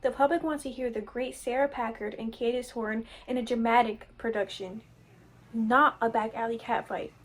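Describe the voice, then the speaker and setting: A woman's voice: on the high side, steady tone, moderate pace, close to an everyday voice. A woman playing a haughty, demanding business sponsor in a 1940s radio comedy. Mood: skeptical, stern. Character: demanding, haughty female sponsor worried about money.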